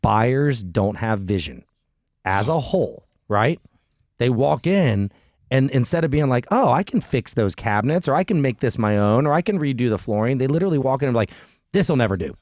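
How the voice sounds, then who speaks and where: A man's voice: medium pitch, expressive, normal speed, a little mellow, no echo. A man hosting a radio talk show in a studio, chatting casually, joking and rambling. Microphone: studio mic.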